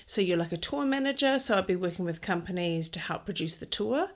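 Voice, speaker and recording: A woman's voice: lowish voice, expressive, a little fast. A woman, a podcast guest talking through her career in a thoughtful way, with many ums and restarts. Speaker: podcast guest, textile designer. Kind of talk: casual interview conversation.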